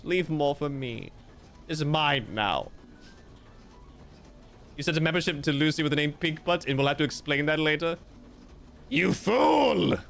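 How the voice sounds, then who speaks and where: A man's voice: fairly high pitch, fairly bright tone. A man streaming live, reading out viewer comments in a playful, theatrical way with mock-grandiose joking. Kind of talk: casual livestream chat reading viewer comments.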